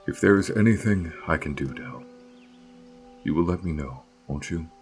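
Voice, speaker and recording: A man acting in a fantasy audio drama as a gentle, melancholy guardian of a flower field, speaking in measured, halting phrases. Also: low-pitched, soft background music, natural voice. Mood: tender, grateful.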